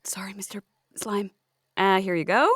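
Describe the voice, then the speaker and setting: A woman playing a flustered, theatrical character in a comic audio drama, halting and apologetic. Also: mid-range voice, a bit bright, no room sound, studio quality, close to an everyday voice.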